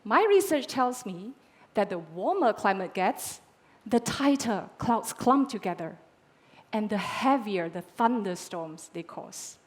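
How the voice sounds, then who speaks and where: A woman in her thirties from Malaysia, a climate scientist giving a rehearsed pitch on a conference stage with dramatic, measured pauses. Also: lively intonation.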